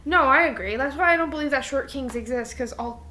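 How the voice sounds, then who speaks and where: Young female podcaster, high and bright, candid playful chat, some background noise. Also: fairly quick. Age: young adult. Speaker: podcast co-host. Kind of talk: casual banter with a friend.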